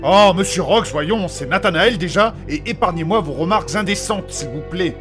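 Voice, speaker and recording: A man's voice: high-pitched, lively intonation, normal speed, over music. A man playing a fussy, polite and pedantic scholar in a comic fantasy audio drama, speaking in a prim, formal manner. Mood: prim, chiding.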